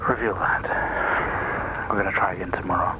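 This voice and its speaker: An actor playing a measured, subdued male therapist in a video game, dictating session notes in a creaky, breathy murmur over noise. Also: low voice, fairly even intonation, lightly acted. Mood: subdued, clinical. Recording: noisy game audio recording.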